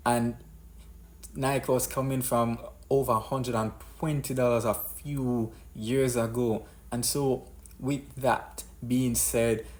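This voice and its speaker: A man hosting an unscripted business commentary, explaining in a deliberate way and often rephrasing his points. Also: mid-range voice, a little mellow. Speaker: host of a business commentary show.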